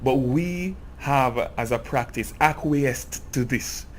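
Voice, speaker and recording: A man's voice: mid-range voice, slow, a little hiss or hum. A Jamaican man giving an earnest, impassioned cultural commentary in a video essay. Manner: earnest, grows impassioned and emphatic.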